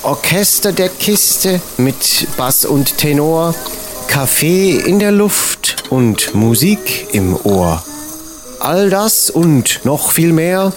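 A man's voice: a little high, darkish tone, a little hiss or hum. A man reading a scripted, rhyming advert as an announcer.

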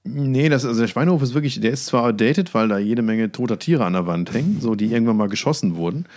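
A man's voice: medium pitch, expressive, fast, darkish tone. A man telling anecdotes as a podcast co-host, hesitant and wryly understated.

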